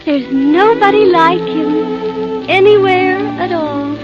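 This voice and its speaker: Sweet princess, super high girly voice, slow, old radio with music. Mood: dreamy. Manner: gentle, girlish, dreamy. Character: sweet, innocent, dreamy young fairy-tale princess. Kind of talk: 1930s radio fairy-tale acting. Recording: muffled 1930s radio, music behind.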